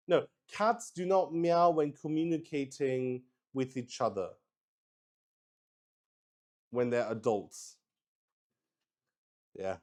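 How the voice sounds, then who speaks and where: Slow-paced man, casual and hesitant. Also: on the high side. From Luxembourg. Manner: hesitant, thinking aloud. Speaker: solo roleplay stream player. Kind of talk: casual improvised chat while playing.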